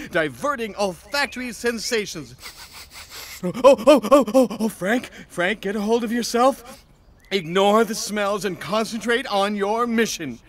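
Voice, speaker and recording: A man playing an excitable alien disguised as a little dog, theatrical and exclamatory, in a sci-fi audio drama. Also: high voice. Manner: theatrical, exclamatory, arguing with himself.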